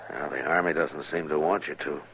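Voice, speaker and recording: Tough steady old-west lawman, super low voice, few words, bit of hiss like 1950s radio drama. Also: a little fast. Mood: weary, probing. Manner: terse, understated, commanding. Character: laconic, steady US marshal, tough but quietly merciful. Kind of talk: 1950s radio western acting. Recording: dull, noisy old radio recording.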